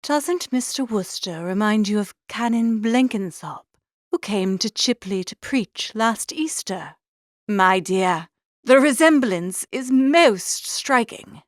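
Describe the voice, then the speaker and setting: A woman's voice: mid-range voice, animated, slow, no room sound, studio quality. A woman playing a mild, pious young village lady in an audiobook, speaking in a prim, genteel way. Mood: politely marvelling. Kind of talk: audiobook character acting.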